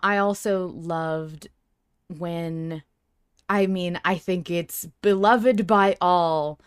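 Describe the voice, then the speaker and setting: A woman with a fairly low, smooth voice talks casually on a podcast. She is earnest and expressive, thinking aloud about a story. The sound is dry, with very little room. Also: very slow, no room sound. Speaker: podcast co-host. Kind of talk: casual fan discussion of a story.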